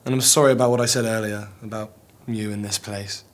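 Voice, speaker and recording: A man's voice: lowish voice, fairly even intonation, fairly quick, lightly acted. A man acting an earnest young office colleague in an understated comedy drama. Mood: apologetic. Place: small office.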